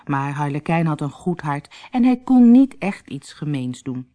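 Low, very animated woman storyteller. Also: unhurried. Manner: expressive, dramatic. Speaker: audiobook narrator of fairy tales. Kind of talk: scripted storytelling narration.

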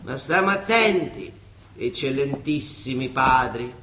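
An actor playing an older monsignor and judge with a Roman accent, halting, colloquial and ironic, in radio theatre style. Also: male voice, medium pitch, expressive, sharp tone, echoey room. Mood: defiant, ironic. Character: old Roman monsignor judge, wry, rambling, quietly defiant.

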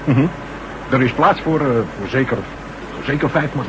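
An actor playing a blunt, chummy, incurious mechanic in a Dutch radio drama. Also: male voice, mid-range voice, normal speed, close to an everyday voice. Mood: chatty, informative. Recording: noisy old radio recording.

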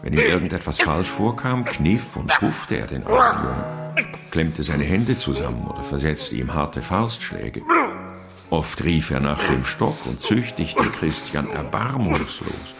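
An actor playing a troubled, courtly physician in a radio drama, speaking in a grave, formal, measured manner. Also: male voice, a bass voice, very animated, gravelly, music in the background, full character voice. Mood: grave recounting. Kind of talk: radio drama narration and dialogue.

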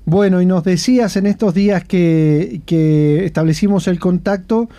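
A man's voice: high-pitched, moderate pace, dark tone. A man with a Uruguayan accent hosting a radio interview, speaking with frequent hesitations and fillers. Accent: Uruguayan Spanish. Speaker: radio host.

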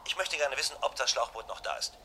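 A man's voice: medium pitch, lively intonation, fast, pushed and bright. An actor playing an anxious, insistent man in a German radio crime drama, giving clipped instructions while trying to hide his alarm. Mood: urgent, commanding. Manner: insistent, clipped instructions, breaking off mid-thought. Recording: noisy vintage radio play recording.

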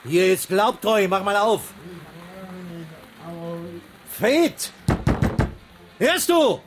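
An actor playing an angry circus boss in a radio drama, calling out stern threats. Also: male voice, high-pitched, big pitch swings, very slow, over music, heavily acted. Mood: stern, impatient. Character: angry male circus boss scolding a drunkard. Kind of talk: 1980s radio drama dialogue.

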